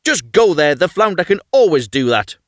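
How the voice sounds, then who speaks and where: A man's voice: high voice, sing-song, normal speed, no echo, studio quality. A man voicing a bossy, greedy wife in a storybook tale, demanding and commanding.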